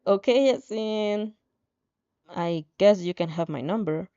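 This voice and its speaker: A woman voicing a reserved, unsure teenage boy with a flat, hesitant, understated delivery. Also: medium pitch, takes it slowly, clean tone, no echo, muffled recording.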